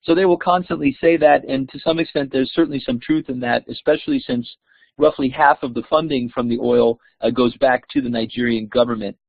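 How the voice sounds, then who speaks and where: A man's voice: mid-range voice, fairly quick, vocal fry, no echo. A man, a scholar, explaining at length in an online discussion, hesitant with frequent "um" and "uh". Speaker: scholar guest. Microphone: online call audio, video off. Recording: dry, tinny phone-like line.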